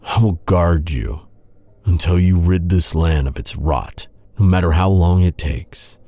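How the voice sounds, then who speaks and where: A man playing a guilt-ridden, devoted knight in a dark fantasy audio roleplay, speaking in an earnest, dramatic, halting way. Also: low voice, fairly even intonation, no room sound. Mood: solemn, devoted. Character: brooding, guilt-ridden male knight, bitter yet tenderly devoted.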